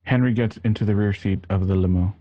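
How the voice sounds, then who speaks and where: A man hosting and narrating an online radio play, with a somewhat halting delivery. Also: on the low side, steady tone, no room sound, lo-fi sound. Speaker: host and scene narrator. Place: home, remote online recording.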